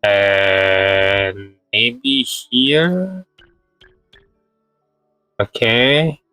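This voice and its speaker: A man's voice: lowish voice, steady tone, very slow, bright, forceful tone, dry recording, faint music behind, low-quality recording. A man chatting casually on a game livestream, thinking aloud in short, hesitant fragments. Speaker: game streamer. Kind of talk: casual livestream gameplay chat.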